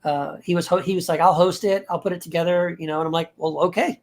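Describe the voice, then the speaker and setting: Relaxed man chatting as podcast guest. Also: sits high, quick pace. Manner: relaxed, rambling, easygoing. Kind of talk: casual interview chat.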